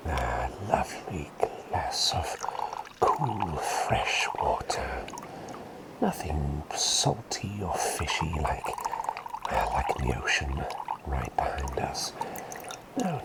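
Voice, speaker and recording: A man acts out a gentle, absent-minded male plague doctor for an ASMR roleplay. He whispers slowly, drifting up and down in a very animated way. His manner is polite and rambling, softly repeating himself. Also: very deep voice, heavily acted. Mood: gentle, whimsical. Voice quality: breathy whisper. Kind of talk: soft-spoken ASMR roleplay.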